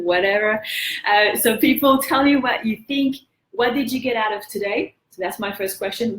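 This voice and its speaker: A woman's voice: medium pitch, roomy sound, low-quality recording. A woman with a French accent hosting a live coaching session, talking earnestly and encouraging viewers to share their feedback. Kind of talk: motivational live-stream talk.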